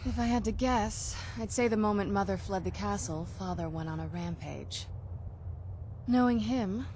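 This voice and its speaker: A woman's voice: medium pitch, a little mellow, slight background noise, natural voice. An actress playing a young vampire noblewoman in a video game, speaking in an earnest, reflective, conversational way. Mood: bitter, resigned. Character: young noblewoman vampire, wistful, earnest, daughter of castle lords.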